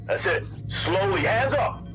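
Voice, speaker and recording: A man's voice: sits high, sing-song, unhurried, over music, poor audio. A man playing a tense, frightened police officer in an audio drama, speaking in urgent short bursts. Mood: tense, commanding.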